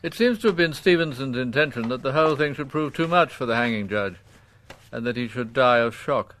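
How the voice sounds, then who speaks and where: A proud old English judge played by a male actor in a radio play. He is coldly courteous and disdainful, setting out each phrase in formal, measured legal language, at a middling pitch. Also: animated, fairly bright tone, slight background noise, natural voice. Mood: grave, reflective. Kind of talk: radio drama acting.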